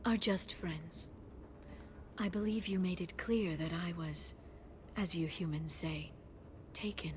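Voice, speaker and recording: A woman playing a gentle, scholarly alien information broker in a video game, speaking softly and earnestly. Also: fairly low pitch, fairly even intonation, slow, long pauses, slight background noise. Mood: hesitant, tender.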